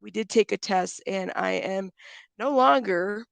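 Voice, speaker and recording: A woman hosting a casual livestream, chatting with viewers and giving friendly shout-outs while still congested. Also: mid-range voice, unhurried, creaky, no echo. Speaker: livestream host.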